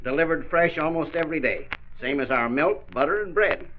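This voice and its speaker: An actor playing a kindly, courteous, folksy grocer with a homespun, hesitant manner in a 1940s radio drama. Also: male voice, a little high, expressive, fairly quick, lightly acted. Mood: genial. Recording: muffled, noisy 1940s radio recording.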